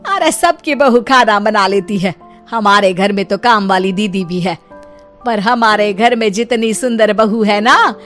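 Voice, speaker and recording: An actress voicing a proud, boastful middle-aged mother-in-law who scolds and lectures slowly. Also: medium pitch, a bit bright, faint music behind, lightly acted. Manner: slow, scolding and preachy.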